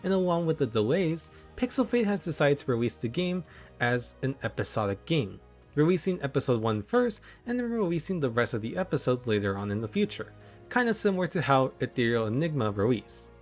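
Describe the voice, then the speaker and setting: A man's voice: mid-range voice, big pitch swings, a little fast. A young man giving enthusiastic review commentary as a video game reviewer. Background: background music.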